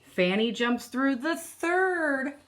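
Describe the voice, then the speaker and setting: A woman in her thirties, a teacher reading a picture book aloud to children and explaining it deliberately, with emphasis on key words, recorded in a room at home. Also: high voice, animated, fairly bright tone. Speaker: elementary teacher.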